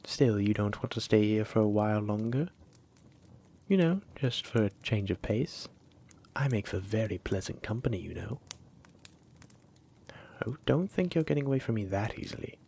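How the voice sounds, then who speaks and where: A man's voice: fairly low pitch, lively intonation, mellow, heavily acted. A man playing a flirty, teasing dragon-blooded librarian in a roleplay audio drama, coy and persistent, lingering on his phrases. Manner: coy, teasing, drawn-out phrases with breathy asides.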